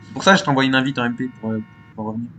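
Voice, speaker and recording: Guy from hosting gaming stuff, talks really fast, slangy and sweary, casual voice chat. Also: mid-range voice, steady tone, bright, forceful tone, slight background noise. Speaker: video channel host.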